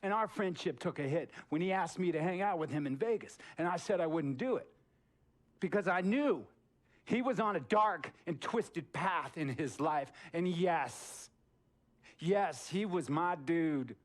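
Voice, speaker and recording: Slick rich sports boss, preachy game cutscene monologue, theatrical, goes hushed then emphatic. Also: male voice, fairly high pitch, lively intonation, unhurried. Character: eccentric wealthy sports boss, preachy, slick, controlling man.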